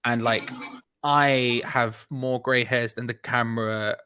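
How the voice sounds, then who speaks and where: A man's voice: mid-range voice, steady tone, slow, pushed and bright, smooth, no room sound, studio quality. A man hosting a casual live fan stream, talking in a chatty, rambling way with lots of restarts. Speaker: host of a fan show.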